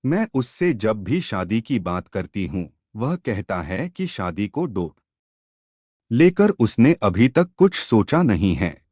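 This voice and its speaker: A man's voice: on the low side, very animated, slow pace, darkish tone, no room sound, studio quality. A man reading scripted relationship stories and advice as a narrator. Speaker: story channel narrator.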